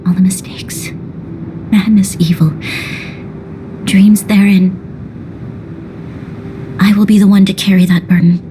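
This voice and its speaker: An actress playing a grave, dutiful young woman leader in a video game cutscene, speaking in a solemn, formal, measured way. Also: mid-range voice, level tone, dark tone, a little hiss or hum, close to an everyday voice. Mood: solemn, determined. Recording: orchestral music behind the voice.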